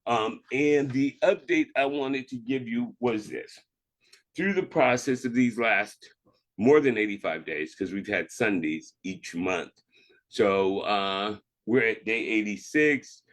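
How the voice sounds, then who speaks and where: A man speaking as a reverend, reading scripture and devotional reflections in a measured, deliberate way. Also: fairly low pitch, expressive, a bit bright.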